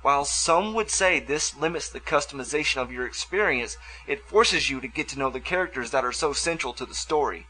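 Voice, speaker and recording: A man's voice: a little high, animated, brisk, few pauses, sharp tone, rough recording. A man hosting a review show, reading scripted commentary on video games with music behind him.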